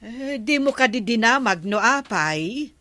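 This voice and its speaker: A woman's voice: a little high, lively intonation, unhurried, bright, forceful tone, no room sound. A woman playing an inquisitive, gossipy villager in a comedy drama, chatty and questioning. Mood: curious.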